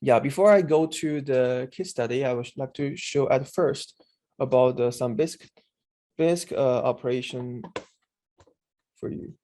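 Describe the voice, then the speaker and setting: A man giving a screen-share tutorial on searching a database, speaking haltingly with many fillers and restarts. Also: mid-range voice, warm tone, a little hiss or hum. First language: Chinese. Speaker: instructor demonstrating a database.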